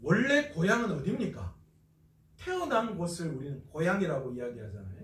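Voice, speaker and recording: A man's voice: fairly high pitch, big pitch swings, brisk, fairly bright tone, roomy sound, recorded from a distance. A man from North Gyeongsang, South Korea, preaching an expository Bible lecture as a pastor, asking questions and answering them himself.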